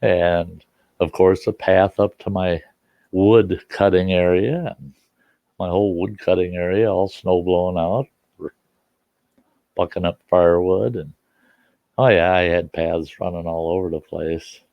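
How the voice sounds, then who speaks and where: A man's voice: low-pitched, steady tone, unhurried, long pauses, no echo, highs cut off. An older man with a slightly stuffy nose, chatting and reminiscing in a folksy, rambling way as he hosts a casual livestream from home. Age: 60s or older. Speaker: livestream host and storyteller. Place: indoors at home.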